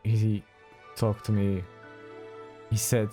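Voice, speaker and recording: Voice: mid-range voice, flat delivery, takes it slowly, dark tone, faint music behind. A streamer giving casual, effusive commentary while playing a game, full of exclamations. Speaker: let's play streamer.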